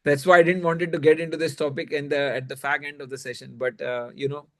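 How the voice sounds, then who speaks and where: A man's voice: on the high side, little pitch movement, fast, a bit bright. A man with an Indian accent teaching religion in a conversational, explanatory way, often adding "you know" as he goes.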